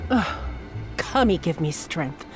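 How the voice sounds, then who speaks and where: Cruel female commander, video game villain, imperious and contemptuous, highly animated delivery over prominent music. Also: slow. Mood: exasperated. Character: cruel, impatient female military commander interrogating captives. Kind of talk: dramatic video game acting. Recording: loud music under the voice.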